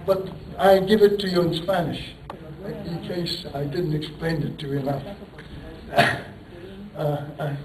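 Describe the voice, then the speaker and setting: A man's voice: fairly high pitch, a little slow, long pauses, heavy background noise. Man lecturing in an echoey hall. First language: Turkish. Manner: rambling, self-deprecating, poses rhetorical questions, chuckles. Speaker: engineering professor giving a lecture. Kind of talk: conference lecture. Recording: echoey hall, voice picked up from a distance.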